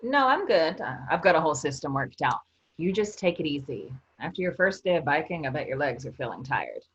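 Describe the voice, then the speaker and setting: A woman's voice: deep voice, sing-song, brisk, slight background noise. A woman playing a practical, teasing member of a travelling theater troupe in a staged play reading. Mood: busy, testy. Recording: dull, lo-fi sound.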